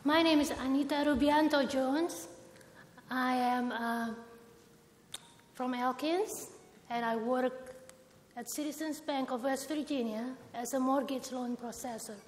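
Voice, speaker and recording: A woman from Indonesia with an Indonesian accent, formally and deliberately telling her personal story as a roundtable guest. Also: high voice, fairly even intonation. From Jakarta, Indonesia. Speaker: roundtable guest, bank employee. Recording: echoey hall, noticeable background noise.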